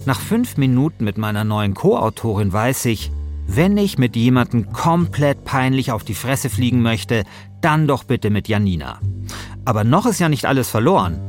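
A man's voice: mid-range voice, animated, normal speed. Wry male podcast host, music behind. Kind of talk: scripted podcast narration and casual chat.

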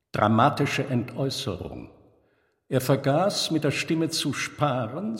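A man reading a scripted literary story with deliberate, dramatic phrasing and pauses. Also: medium pitch. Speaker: narrator. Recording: long hall reverb.

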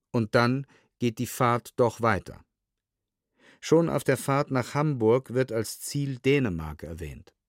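A man reads written testimony as a literary documentary recording. His voice sits at a medium pitch, with measured and precise diction, captured in a very dry studio. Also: no room sound. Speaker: reader of testimony.

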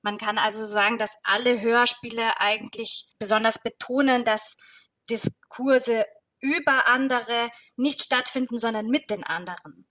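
Female jury guest, slightly high voice, considered and analytical radio discussion. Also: unhurried, bright, forceful tone. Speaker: jury member, interview guest.

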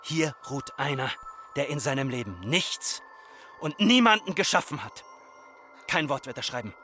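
An actor playing a farmer's embittered grown son, speaking in reproachful, incantatory repetitions in a literary radio drama. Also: male voice, medium pitch, expressive, normal speed, music in the background, lightly acted. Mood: vengeful.

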